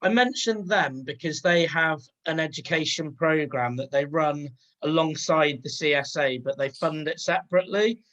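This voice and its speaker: A man in an online workshop answering a question, explaining an organisation in clear detail. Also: fairly high pitch, normal speed, fairly bright tone, dull audio. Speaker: workshop participant. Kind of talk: online group discussion.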